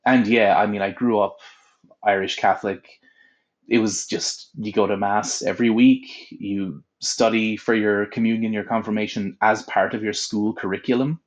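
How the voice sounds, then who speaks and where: A man's voice: on the low side, fairly even intonation, normal speed. A young adult man, an audiobook voice actor, chatting casually in an interview with lots of filler words. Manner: rambling, many filler "like"s.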